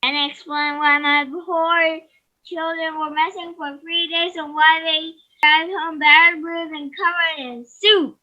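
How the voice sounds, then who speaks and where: A woman's voice: very high voice, fairly even intonation, a little slow, muffled recording. A woman playing a doting, indignant father who protectively defends his children in a readers theater play.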